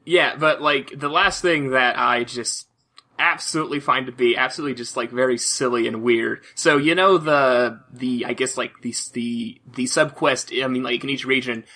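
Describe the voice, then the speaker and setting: A man's voice: mid-range voice, steady tone, moderate pace, bright, forceful tone. A man chatting casually as a podcast host, rambling along with "like" dropped into nearly every sentence. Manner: rambling, heavy use of "like". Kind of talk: casual chat about video games.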